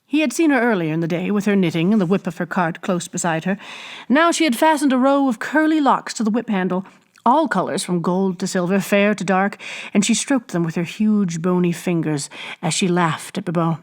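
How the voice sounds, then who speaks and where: A woman reading a classic adventure novel aloud as an expressive storybook narrator. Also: medium pitch, brisk. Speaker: audiobook reader.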